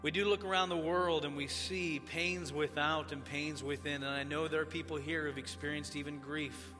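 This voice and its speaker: A man who grew up in Tanzania, preaching as a pastor in a church, praying and then teaching in a measured, earnest cadence. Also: fairly high pitch, level tone, sharp tone, soft background music. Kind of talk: sermon with opening prayer. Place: church sanctuary. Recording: church hall reverb.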